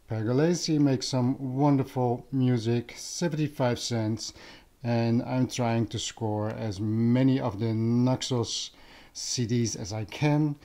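A man's voice: medium pitch, mellow. A man from the Netherlands with a Dutch accent, chatting casually and unscripted for a vlog, searching for words as he goes. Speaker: vlogger, audio enthusiast. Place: living room at home.

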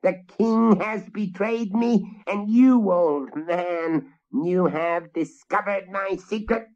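A man voicing a vengeful old fairy witch woman with a shrill, mocking voice, in a slightly muffled recording. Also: takes it slowly, darkish tone.

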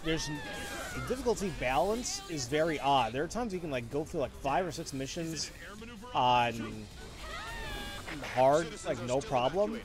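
A man's voice: a little high, lively intonation, a little slow. Rambling young man streaming a game. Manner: rambling, frequent restarts, blunt and opinionated. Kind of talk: casual game commentary with chat replies. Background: game music and sound effects.

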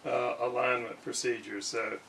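A man giving a casual hands-on demonstration, speaking haltingly as he thinks aloud in an indoor workshop. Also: medium pitch, level tone, creaky, a bit bright. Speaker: radio restorer, video host. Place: indoor home workshop at a desk. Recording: distant mic with background noise.

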